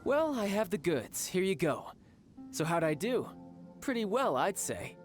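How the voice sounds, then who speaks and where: A man's voice: sits high, very animated, unhurried, faint music behind. An actor playing a confident young fighter posing as a salesman in a video game, playful and theatrically polite. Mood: self-assured. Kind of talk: video game character acting.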